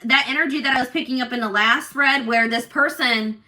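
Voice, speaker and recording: Bright-voiced assertive woman tarot reader. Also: high-pitched, a little fast. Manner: assertive, loud talker; animated, many restarts and fillers. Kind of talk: casual tarot reading to viewers.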